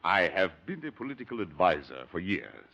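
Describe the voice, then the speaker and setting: An actor playing a smooth-talking con-man political adviser in a 1950s radio comedy, husky and grandiloquent, chuckling through his pitch. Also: very animated, brisk, bright, forceful tone, exaggerated voice. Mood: scheming. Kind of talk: 1950s radio sitcom acting. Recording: dull lo-fi 1950 radio recording.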